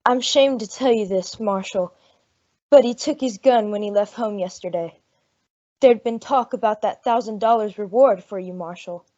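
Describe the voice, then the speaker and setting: A woman's voice: mid-range voice, normal speed, mellow, natural voice. A woman playing an anxious, humble frontier mother in an old-time radio western, earnest and pleading in a rustic way. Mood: worried, pleading. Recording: dull lo-fi radio drama recording.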